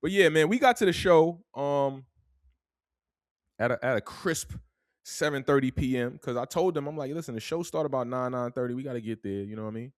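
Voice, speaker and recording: A man's voice: mid-range voice, fairly quick, no room sound, clean studio sound. A young man from New York with a New York accent, telling a story in a casual, laid-back way and tagging his sentences with "man". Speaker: podcast host.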